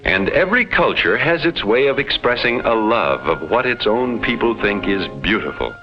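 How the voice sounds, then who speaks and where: A man playing a detached alien explorer, narrating in a formal, measured 1950s educational film style with precise enunciation. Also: medium pitch, big pitch swings. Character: detached alien explorer reporting on Earth's inhabitants. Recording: dull vintage 1950s film soundtrack with music.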